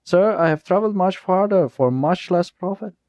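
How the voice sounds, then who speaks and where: A sly young thief with high, formal, fawning speech, as a man puts him on. Behind the politeness is a quiet threat. The sound is dull and dry, like a lo-fi home recording. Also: a little slow, dry recording. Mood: flattering. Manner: overly formal, flattering then menacing. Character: cunning young male thief, falsely polite.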